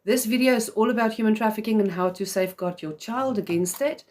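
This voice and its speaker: A woman's voice: medium pitch, fairly quick, darkish tone. Earnest South African woman live-streaming. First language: Afrikaans. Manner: earnest, chatty, with frequent "um" fillers. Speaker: host of a live video series for mothers. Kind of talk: live-stream talk to viewers.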